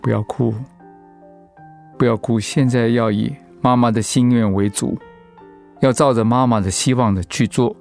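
An actor playing a gentle, steadfast, reassuring middle-aged father in a Mandarin radio drama. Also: mid-range voice, steady tone, moderate pace, creaky, pauses often, soft background music, close to an everyday voice. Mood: soothing.

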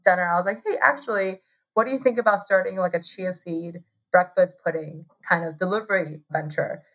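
Chatty woman from Taiwan, low husky voice. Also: brisk, a bit bright. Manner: chatty, laughs often, many "like" fillers. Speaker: guest, hot sauce co-founder. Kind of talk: casual interview storytelling.

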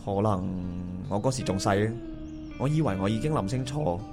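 A low, subdued male voice over background music: a man acting a hesitant husband full of regret in a Cantonese radio play. He speaks conversationally with a Cantonese accent. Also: expressive, normal speed. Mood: hesitant, regretful. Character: regretful, hesitant married man meeting his first love. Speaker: voice actor playing the male lead. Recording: music under the dialogue.

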